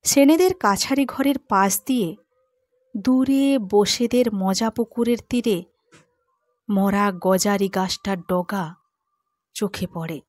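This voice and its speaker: A woman narrating a classic story in a measured way, softening for the eerie passages. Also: medium pitch, dry recording, clean studio sound. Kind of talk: scripted literary narration.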